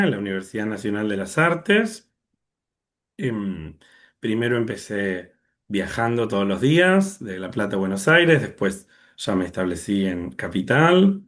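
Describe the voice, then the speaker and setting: A young man from Argentina with an Argentine accent, a conductor telling his story in an interview over a video call, thoughtful and full of fillers. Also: fairly low pitch. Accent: Argentine Spanish. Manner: thoughtful, many fillers and restarts. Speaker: orchestra conductor, guest.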